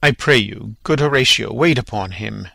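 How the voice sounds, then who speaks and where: An actor playing a calculating, commanding king in a classical Shakespearean reading, speaking in a measured, authoritative way. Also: fairly low pitch, gravelly, sharp tone, no echo, exaggerated voice. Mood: commanding, scheming.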